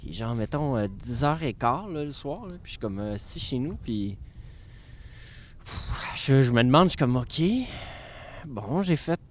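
A man's voice: mid-range voice, lively intonation, normal speed. Hesitant Québécois man in casual chat. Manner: hesitant, informal. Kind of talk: casual conversation.

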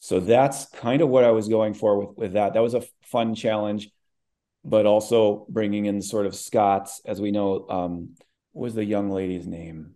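Midwestern man, low and mellow, rambling interview guest with restarts. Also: fairly even intonation, moderate pace. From American Midwest. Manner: thoughtful, rambling with many restarts. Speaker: voice actor.

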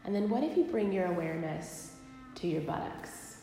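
A woman leading a guided body scan meditation, speaking gently and unhurriedly with long pauses. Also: fairly low pitch, lively intonation, vocal fry, soft background music. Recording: very echoey live recording, long reverb.

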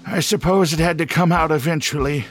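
A man's voice: a little high, brisk, soft background music, close to an everyday voice. A man playing a lovelorn old inventor in a comedic radio play, pleading and faltering. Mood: sheepish confession.

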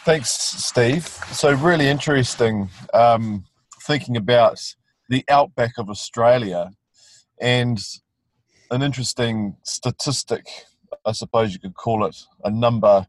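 A New man hosts a school web conference while sitting in a vehicle. His voice is mid-pitched and the sound is close and dry. He speaks casually, with restarts and fillers. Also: a little slow, dry recording, rough recording. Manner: friendly, loose, with fillers and restarts. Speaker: field trip teacher hosting a web conference. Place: inside a vehicle.

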